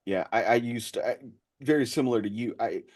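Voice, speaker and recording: A man hosting a casual chat, feeling his way through sentences in a halting delivery. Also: medium pitch, a little mellow. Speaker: podcast host.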